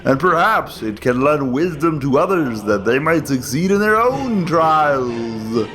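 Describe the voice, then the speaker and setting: A man's voice: fairly high pitch, sing-song, measured pace. A man giving a dramatic reading of a manifesto over choral music. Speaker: reader. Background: dramatic orchestral choral music.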